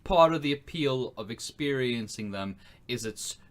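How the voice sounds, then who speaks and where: A man's voice: medium pitch, animated, normal speed. A man co-hosting a casual podcast, speaking in an articulate, analytical and sarcastic way. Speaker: podcast co-host.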